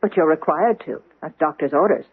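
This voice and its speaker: Earnest, husky-voiced housekeeper. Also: female voice, low voice, brisk. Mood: prim, firm. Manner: prim, insistent, searching for words. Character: earnest, firm housekeeper, a woman with a nagging hunch. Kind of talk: old-time radio drama acting. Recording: thin, narrow-band old radio broadcast.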